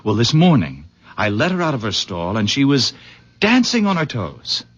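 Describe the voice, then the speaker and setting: A man's voice: medium pitch, huge pitch swings, moderate pace, fairly bright tone, slightly rough, full character voice. An actor playing an eager, persuasive young man in a radio drama, insistent and effusive. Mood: breezy. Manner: insistent, effusive, repeats himself. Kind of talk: 1970s radio drama acting. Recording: muffled, noisy old radio broadcast recording.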